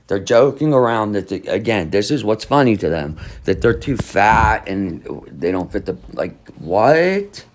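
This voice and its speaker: A man reads a crime article aloud in a somewhat low voice. He stops often to pick at it, rambling and skeptical, and hums to himself between passages. It sounds like casual true crime commentary. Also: sing-song, unhurried, hardly any pauses. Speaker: true crime channel host.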